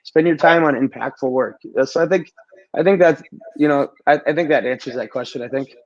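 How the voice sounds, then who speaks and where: A man with a raspy voice from a recent cold, talking casually as a guest and often repeating words as he starts sentences. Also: medium pitch, brisk, dull audio. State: recovering from a cold. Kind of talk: casual interview talk.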